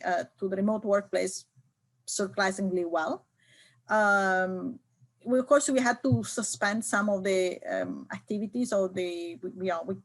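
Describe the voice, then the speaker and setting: Hesitant middle-aged woman IT leader. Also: mid-range voice. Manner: hesitant, many fillers and restarts. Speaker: IT leader guest. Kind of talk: remote interview conversation.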